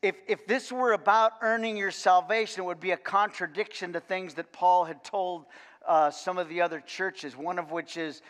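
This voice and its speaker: Older man, pastor, high voice, folksy rambling sermon, big church with long echo. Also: normal speed. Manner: folksy, animated, many repeated words and "okay?" asides. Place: large church sanctuary, indoors. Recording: long reverb of a church hall.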